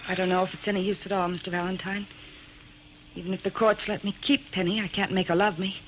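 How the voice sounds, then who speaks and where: A woman's voice: medium pitch, flat delivery, quick pace, slight background noise, lightly acted, poor audio. A woman playing a bitter, wounded widowed mother in a 1940s radio drama. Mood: weary, anxious. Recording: old radio drama recording.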